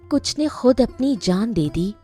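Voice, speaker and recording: Woman voicing a slow, sorrowful young ghost queen. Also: fairly high pitch, expressive, warm tone, faint music behind, voice pushed up. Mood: sorrowful, solemn.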